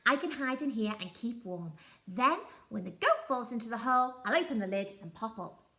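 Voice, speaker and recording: Simple, timid male troll for a storybook reading, small and quavering, pitched high and lively, a woman reads him. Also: normal speed. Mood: scheming. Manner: small shaky voice when scared.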